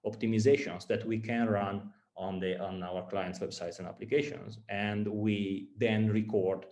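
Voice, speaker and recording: Hesitant Italian-accented man, low voice. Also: steady tone, a little mellow, echoey room. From Italy. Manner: halting, many fillers and repeated words. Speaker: startup founder and guest speaker. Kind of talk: talk to students on starting a business.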